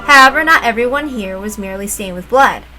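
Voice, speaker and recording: A woman's voice: a little high, expressive, fast, low-quality recording. A woman reading a story aloud as dramatic scripted narration. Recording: loud music behind the voice.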